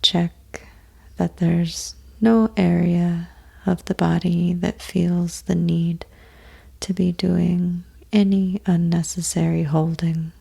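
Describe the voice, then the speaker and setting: A woman guides a meditation in a low, husky voice that often falls to a breathy near-whisper with a creaky edge. Her delivery is extremely slow and gentle, leaving long pauses between short, soothing phrases. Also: flat delivery. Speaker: yoga nidra meditation teacher.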